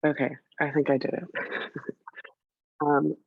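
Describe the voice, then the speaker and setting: Woman with a scratchy, gravelly cold voice. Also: sits low, flat delivery, slow, no echo. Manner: chatty, hesitant, many fillers. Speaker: meeting presenter. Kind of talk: casual work meeting update. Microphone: video call. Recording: dull, dry video-call audio.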